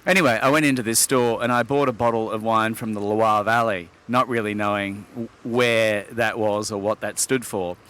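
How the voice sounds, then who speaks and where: A man's voice: lowish voice, steady tone, normal speed, few pauses, a bit bright. A middle-aged man from Sydney, Australia, a wine expert guest, speaking in articulate, wordy sentences with hesitant fillers. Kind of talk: relaxed interview talk.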